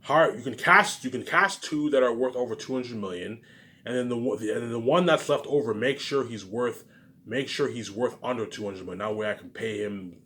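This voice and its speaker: A man rambling in a casual monologue, repeating himself, jumping between loud bursts and mumbled trailing endings. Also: medium pitch, normal speed, a little hiss or hum. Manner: repetitive, keeps saying "man" and "obviously". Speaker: vlogger.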